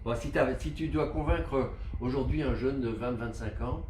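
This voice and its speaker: A man's voice: mid-range voice, normal speed, darkish tone. A man conducting a casual, chatty interview in a familiar, informal way, often interjecting. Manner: familiar, interjects and echoes answers. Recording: echoey room, slightly distant, faint noise.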